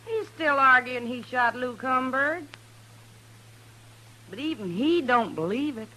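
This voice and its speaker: An actress playing a stubborn, rough-spoken American frontier woman, folksy and defiant in an old-time radio western. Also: high voice, animated, measured pace, sharp tone, heavily acted, poor audio.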